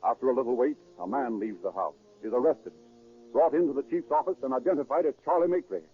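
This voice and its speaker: A man's voice: medium pitch, quick pace, narrow, tinny audio. Formal vintage radio crime narrator, American man. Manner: formal, dramatic. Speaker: narrator of a police crime drama. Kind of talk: 1930s radio scripted narration. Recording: thin 1930s radio recording with music.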